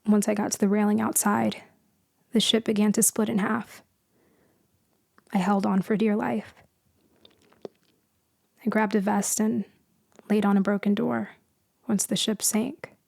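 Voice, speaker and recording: Soft, low-key woman survivor with creaky voice, acted. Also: level tone, dry recording, studio quality. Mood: grieving. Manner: halting, hushed, dramatic pauses. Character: shaken, grieving woman survivor recounting a shipwreck. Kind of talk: intimate storytelling ASMR roleplay.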